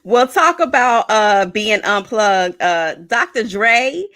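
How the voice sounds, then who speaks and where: A woman's voice: medium pitch, animated, slow, fairly bright tone. A woman co-hosting a radio show, telling gossip stories in a sassy, teasing way. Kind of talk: casual gossip banter.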